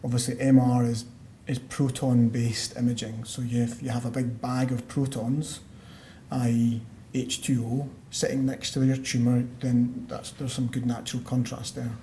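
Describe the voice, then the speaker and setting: A Scottish man, a lung doctor, giving a measured medical conference talk with frequent fillers and restarts. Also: mid-range voice, little pitch movement, warm tone. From Scotland. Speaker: pulmonologist giving a conference lecture. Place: conference hall. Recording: roomy hall sound, faint background noise.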